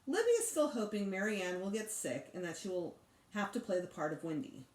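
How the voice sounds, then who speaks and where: Echoey, animated woman reading a story aloud. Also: medium pitch, brisk. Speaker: teacher reading aloud to children. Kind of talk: expressive storybook read-aloud. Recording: roomy echo.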